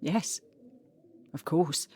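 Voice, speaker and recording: Slow-spoken, defiant French singer. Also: female voice, dark tone. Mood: guarded, uneasy. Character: French cabaret singer, guarded, defiant woman under questioning. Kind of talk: audio drama acting.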